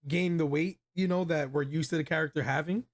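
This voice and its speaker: Higher-pitched man hosting a casual review. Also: steady tone, fairly quick. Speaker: video review host. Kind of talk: casual movie review chat.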